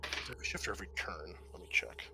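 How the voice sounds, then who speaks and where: A man's voice: low voice, sing-song, rapid-fire, vocal fry, whispered. A man acting as game master, explaining a scenario and thinking aloud as he recalls the rules.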